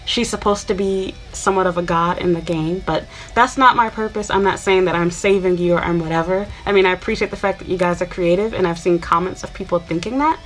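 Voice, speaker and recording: Chatty young woman from Chicago, lowish voice. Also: fairly quick, hardly any pauses, a bit bright, rough recording. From Chicago, USA. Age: 20s. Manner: chatty, rambling with many fillers. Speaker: YouTuber. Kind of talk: casual talk to camera.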